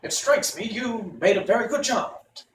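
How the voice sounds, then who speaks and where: A man's voice: sits high, lively intonation, normal speed, voice pushed up. A man voicing a naive, polite, newly made pumpkin-headed man in an earnest, wondering way for a children's storybook. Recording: roomy, noisy, distant microphone.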